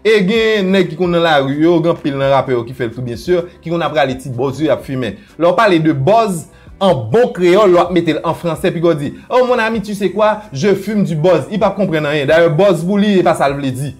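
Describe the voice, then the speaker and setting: A man with a Haitian Creole accent teaching a playful video lesson, often dropping into low asides and jumping high for quoted voices. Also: expressive, a little fast, soft background music. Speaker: language teacher.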